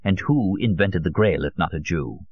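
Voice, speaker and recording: A man's voice: deep voice, animated, normal speed. A man voicing a fervent elderly conspiracy theorist in an audiobook, emphatic and rhetorical, holding forth in rambling monologue. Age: older. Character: elderly Russian male conspiracy theorist, fervent, nostalgic taxidermist. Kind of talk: dramatised audiobook character. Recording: dull, muffled lo-fi audio.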